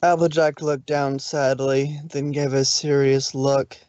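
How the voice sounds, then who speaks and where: A man's voice: medium pitch, flat delivery, measured pace, darkish tone, highs cut off. A man narrating a fan fiction story on a live stream, adding casual asides. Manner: plain reading with asides. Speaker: reader, narrator.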